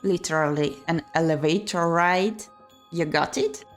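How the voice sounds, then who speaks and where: A woman streamer reading a visual novel aloud in an expressive, theatrical way. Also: fairly low pitch, a little slow. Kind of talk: dramatic read-aloud narration. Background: game music.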